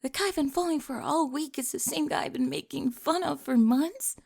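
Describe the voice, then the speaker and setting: A woman playing a remorseful, lovestruck high school cheerleader in an ASMR roleplay, breathy and hesitant, often dropping to a whisper. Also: brisk, a little mellow. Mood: flustered, disbelieving. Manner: hesitant, emotional, confessional. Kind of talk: ASMR romantic roleplay monologue.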